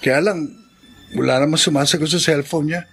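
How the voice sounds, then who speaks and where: Husky, plaintive young Filipino son. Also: male voice, fairly high pitch, steady tone, a little fast, fairly bright tone, faint music behind. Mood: dejected, pleading. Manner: polite, plaintive. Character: dejected, respectful young son asking for shelter. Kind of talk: Filipino radio drama acting.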